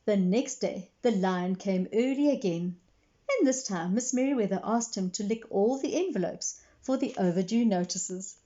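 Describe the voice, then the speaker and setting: A woman's voice: medium pitch, normal speed, mellow, lo-fi sound. A woman reading a picture book aloud to children as a librarian, with simple explanations along the way. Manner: clear explanatory storytelling for young listeners.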